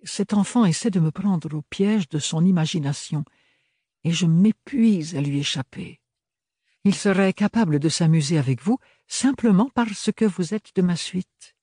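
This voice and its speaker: A man's voice: sits high, lively intonation, measured pace, mellow, slightly rough, no room sound, studio quality, voice pushed up, full character voice. A man voicing Bérénilde, a weary, silky noblewoman. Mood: languid. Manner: tired, honeyed, mocking. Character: weary elegant noblewoman, sly and languid.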